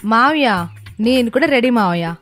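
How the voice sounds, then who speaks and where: Woman voicing plaintive high-pitched young daughter-in-law. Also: lively intonation, normal speed, fairly bright tone, faint music behind. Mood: ready. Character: Anamika, anxious but stubborn young daughter-in-law. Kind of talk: cartoon moral-story voicing.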